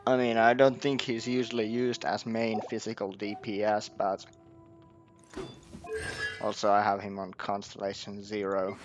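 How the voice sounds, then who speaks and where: A man's voice: lowish voice, flat delivery, measured pace, muffled recording. A man giving casual gameplay commentary, hesitantly thinking aloud. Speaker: gamer recording gameplay. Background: soft game music.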